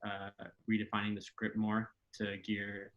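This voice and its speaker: A man's voice: on the low side, completely flat delivery, a little slow, lo-fi sound, slight background noise. A young man, a Mexican American writer and actor from Texas, telling a story in an easygoing, hesitant way with many fillers. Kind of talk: casual interview.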